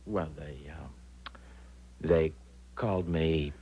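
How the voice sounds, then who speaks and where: An actor playing a timid, despairing young American traveler, stammering and pleading in a radio drama. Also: male voice, extremely low pitch, steady tone, drawn-out words, husky, heavily acted. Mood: hesitant. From United States. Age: young adult. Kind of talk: 1970s radio drama acting. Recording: dull lo-fi old radio broadcast.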